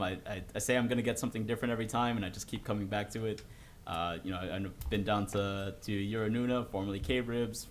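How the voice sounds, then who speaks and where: Lowish-voiced council man with many fillers. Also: a little hiss or hum. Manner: friendly, hesitant, with fillers. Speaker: city council member.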